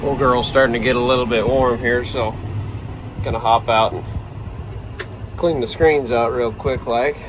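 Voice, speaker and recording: A man's voice: medium pitch, fairly even intonation, normal speed, pauses often. A man vlogging as a rancher, talking casually and folksy with dry humor while he works outdoors beside a tractor, holding the camera himself. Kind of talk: casual narration while working. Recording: outdoor recording with loud engine noise.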